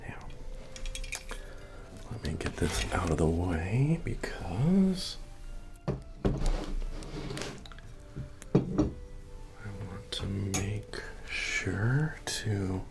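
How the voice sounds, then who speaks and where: A man playing a friendly, humble medieval innkeeper in a fantasy ASMR roleplay, speaking softly and often near a whisper as he tends to a guest. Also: animated, heavy background noise, exaggerated voice. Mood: friendly, helpful. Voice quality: deep, soft, often dropping to whisper. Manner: unhurried, hospitable, murmuring to a guest. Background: soft bard music.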